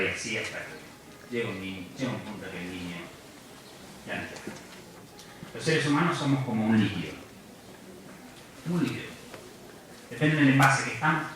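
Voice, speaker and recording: Argentine-born male lecturer, bright forceful voice, earnest and reflective, heard off-mic in a very reverberant hall. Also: lowish voice, recorded from a distance, slight background noise. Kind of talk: public lecture. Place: large university lecture hall.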